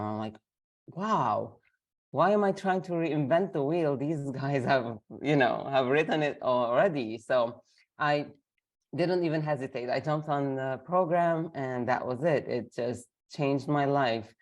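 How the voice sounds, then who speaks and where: A woman's voice: extremely low pitch, a little mellow. Woman from Lebanon, voice worn by illness. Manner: hesitant, many fillers. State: sick, voice affected. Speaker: guest. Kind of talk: casual interview.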